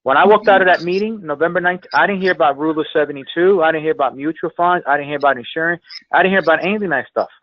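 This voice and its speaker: A man's voice: on the high side, racing, dry recording. A man giving a motivational sales coaching talk on a conference call, speaking emphatically and repeating phrases for effect. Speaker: sales trainer, guest speaker. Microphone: telephone. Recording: tinny, band-limited phone conference line.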